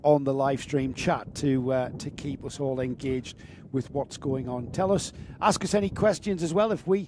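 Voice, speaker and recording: A man's voice: mid-range voice, moderate pace, background noise. A man hosting a live karting stream, chatting with co-commentators and reading out viewers' names. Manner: chatty, jokey, reads out chat names. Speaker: race commentator and stream host. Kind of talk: live motorsport commentary and chat.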